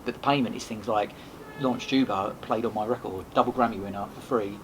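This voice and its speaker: A man telling rambling anecdotes in a casual podcast chat, animated and full of restarts. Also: on the low side, fairly quick. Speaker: podcast host and guest. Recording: noisy background.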